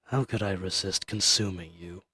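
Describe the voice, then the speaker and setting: A man's voice: low voice, steady tone, moderate pace, pushed and bright, breathy edge, full character voice. A man voicing an ancient dragon familiar in an intimate audio roleplay, speaking formally, possessive and seductive, condescending yet soothing. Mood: hungry, tender. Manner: formal, archaic diction, soothing and teasing. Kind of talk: intimate scripted roleplay audio addressed to the listener.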